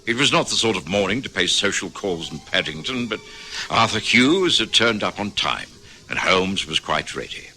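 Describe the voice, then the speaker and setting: Doctor Watson, low-voiced genial gentleman. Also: animated, moderate pace, exaggerated voice. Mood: measured narration. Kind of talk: old-time radio drama acting, part narration.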